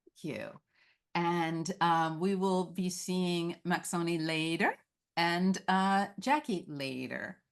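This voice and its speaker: A woman's voice: lowish voice, slow. A woman hosting a live event and introducing the readers.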